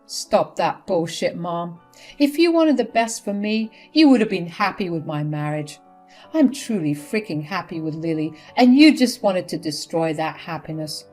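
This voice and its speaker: Fed-up grown son cutting his mom off, blunt and firm, woman reading it, quiet music under. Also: fairly low pitch, animated, moderate pace.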